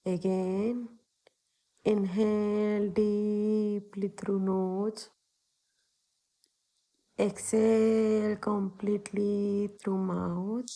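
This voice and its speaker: Very slow, mellow woman guiding meditation. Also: mid-range voice. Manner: calming, soothing, unhurried with long pauses. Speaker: meditation guide, yoga teacher. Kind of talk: guided meditation, spoken instructions.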